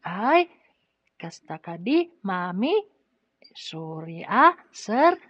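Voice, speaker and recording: A woman's voice: medium pitch, big pitch swings, drawn-out words, a bit bright, dry recording, exaggerated voice. A woman acting a polite, eager young boy in a radio drama, childlike and respectful. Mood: polite, obliging. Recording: dull lo-fi audio, music behind.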